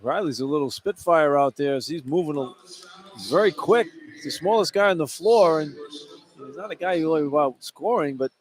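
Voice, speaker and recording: A man's voice: on the high side, lively intonation, a little fast. A man giving conversational, emphatic and colloquial basketball analysis as a radio color commentator. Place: indoor sports arena. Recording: live arena broadcast with background noise.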